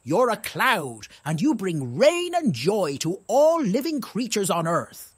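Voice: mid-range voice, huge pitch swings, slow, husky, dry recording, clean studio sound, full character voice. A storyteller voicing a wise old owl who gives kindly, reassuring advice in a children's storybook. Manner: reassuring, gentle advice-giving.

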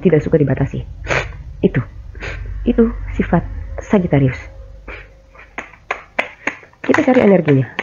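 A woman's voice: lowish voice, creaky, warm tone, dull audio, a little hiss or hum. A woman with a cold hosting a casual tarot reading from a small bedroom, hesitant with many fillers and restarts. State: coughing, has a cold. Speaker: tarot reader, channel host.

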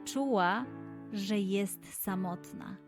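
A woman's voice: medium pitch, drawn-out words, faint music behind. A woman telling a scripted children's story as its narrator.

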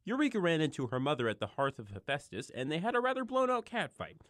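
Woman as a lively, sarcastic co-narrator. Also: low voice, fast, dry recording, clean studio sound. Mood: flippant. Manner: casual, wisecracking. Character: flippant, sarcastic co-narrator of Greek myths. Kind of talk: comic narration.

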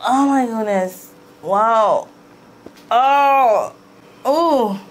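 A woman's voice: fairly high pitch, very slow, poor audio. A woman patient in her thirties, speaking casually in spontaneous, exclamatory reactions. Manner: expressive, exclamatory. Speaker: chiropractic patient. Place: small treatment room, indoors. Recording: light room noise.

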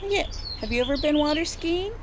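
A woman in her forties, a preschool teacher, reads a rhyming picture book aloud to children and stops to ask them gentle questions. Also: sits high, expressive, background noise. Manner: slow rhythmic rhyme reading with gentle questions to children. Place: outdoors on a front porch. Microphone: phone or laptop camera at close range.

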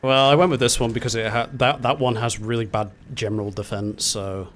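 A man's voice: medium pitch, fairly even intonation, a little fast, fairly bright tone, slight background noise. A man chatting casually as he plays a co-op game with friends, swearing and teasing them as he goes. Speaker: co-op gamer playing with friends. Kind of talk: casual gameplay chat and commentary. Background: game music and effects.

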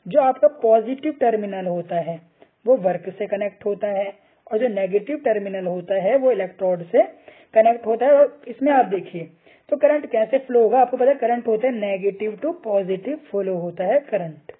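Husky male tutor, tinny sound. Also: high-pitched, moderate pace. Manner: patient, reads each option aloud, mixes Hindi with English terms. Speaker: teacher. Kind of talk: explaining quiz answers over slides.

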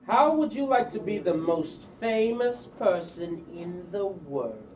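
A man's voice: sits high, sing-song, slow pace, darkish tone, heavily acted. A man playing a pompous, eager inventor professor in a staged screenplay reading. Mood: grandly persuasive. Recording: distant stage mic, faint noise.

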